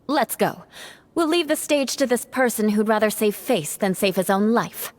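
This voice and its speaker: A woman's voice: a little high, animated, moderate pace, lightly acted. A woman voicing a proud, aloof young noblewoman in a video game, cool and scornful in tone. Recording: game cutscene with background music.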